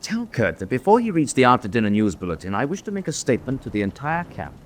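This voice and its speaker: An actor playing a principled German senior U-boat officer in a radio drama, deliberate and authoritative. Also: male voice, mid-range voice, huge pitch swings, a little hiss or hum. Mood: resolute.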